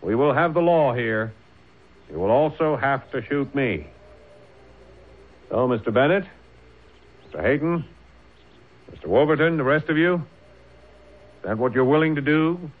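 A man's voice: medium pitch, animated, measured pace, long pauses. An actor playing a principled, commanding colonial lawman who speaks urgently and articulately in an old-time radio drama. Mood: firm, commanding. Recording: tinny, noisy old radio broadcast.

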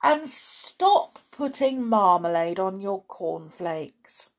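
A woman voicing a sensible, exasperated mother who gently scolds in a children's story. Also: mid-range voice, very animated, slow. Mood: chiding. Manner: chiding, exasperated.

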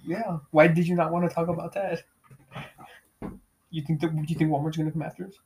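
A young man with a fairly high, somewhat raised voice hosts a loose, unplanned podcast. He talks in easy slang, peppered with "bro" and cursing. He laughs often as he goes. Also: flat delivery, brisk, a little mellow, a little hiss or hum. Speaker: podcast host. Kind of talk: casual chat with heavy swearing.

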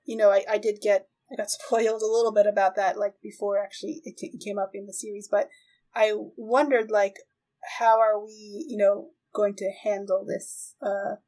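A woman's voice: medium pitch, little pitch movement, moderate pace, a little mellow, rough recording. A woman hosting a podcast, chatting casually in a tentative way with giggles slipping in. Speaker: podcast host. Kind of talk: casual interview chat.

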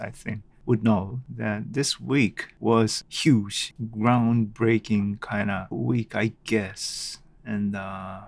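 A Japanese man with a Japanese accent hosting his own solo podcast, thinking aloud unscripted with many pauses and fillers, recorded at home on a desk microphone. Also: fairly low pitch, creaky, no echo. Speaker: podcast host. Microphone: desk microphone at a computer.

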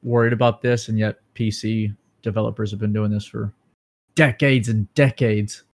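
Excitable man chatting about gaming hardware. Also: on the low side, moderate pace. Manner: excitable, breaks into dramatic movie-quote impressions. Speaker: podcast co-host. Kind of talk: casual gaming news chat.

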